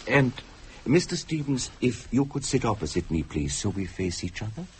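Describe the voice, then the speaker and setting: A man acting as a solemn, commanding séance medium in a radio horror drama, with a formal, hypnotic and deliberate delivery. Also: medium pitch, big pitch swings, noisy background, close to an everyday voice. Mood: formal, composed.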